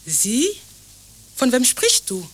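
A woman's voice: a little high, huge pitch swings, slow, a bit bright, exaggerated voice. An actress playing a direct, exasperated young woman in a radio play. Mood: incredulous. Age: young adult. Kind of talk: German radio play dialogue.

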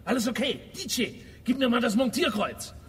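A young man playing a swaggering, menacing gang leader in a radio drama, loud, bossy and taunting. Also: extremely high pitch, very animated, fairly quick, full character voice. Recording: distant, long echoing reverb.

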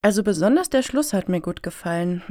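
An actress playing a naive, friendly meeting participant in a satirical radio play, with a mild, puzzled manner. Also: female voice, mid-range voice, expressive, brisk, dark tone, natural voice. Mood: politely puzzled.

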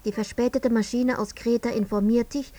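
A woman's voice: steady tone, normal speed, mellow, lightly acted. A woman playing a stern inquisitor in a radio drama, recounting events in a clinical, precise, accusatory way. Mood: cool, relentless. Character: stern female inquisitor retelling a disaster to the dead controller. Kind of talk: scripted radio drama in second person. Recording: noisy with low hum.

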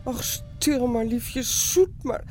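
A woman's voice: fairly high pitch, slow pace, a little mellow, soft background music. An actress playing a caring village mother, soothing and tearful in a radio drama.

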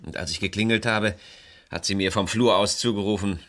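An actor playing a worried middle-aged estate agent and estranged husband in a radio crime play, talking in a confiding, hesitant way. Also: male voice, lowish voice, fairly even intonation, fairly quick, a bit bright, close to an everyday voice. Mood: troubled.

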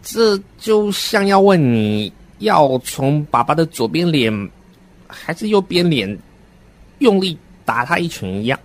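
A man narrating a first-person horror story in a deliberate, dramatic manner. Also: a little high, very animated. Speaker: radio drama narrator and voice actor.

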